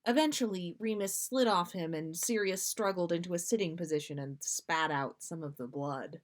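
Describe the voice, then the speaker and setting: A woman's voice: fairly low pitch, normal speed. A woman reading fiction aloud as a storyteller and podcast host.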